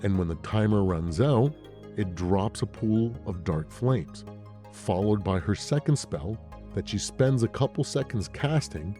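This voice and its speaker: Male gaming creator, low mellow voice, relaxed and playful speculation read, faint music underneath. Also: a little slow. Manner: rhetorical questions and playful asides. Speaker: gaming video creator and host. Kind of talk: casual scripted game speculation commentary. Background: background music.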